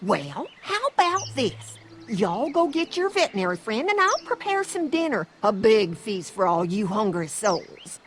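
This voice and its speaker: A woman's voice: mid-range voice, big pitch swings, measured pace, slight background noise. An actress playing a hospitable, motherly older farm matriarch with a folksy Southern American accent in a video game. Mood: delighted. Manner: folksy, effusive.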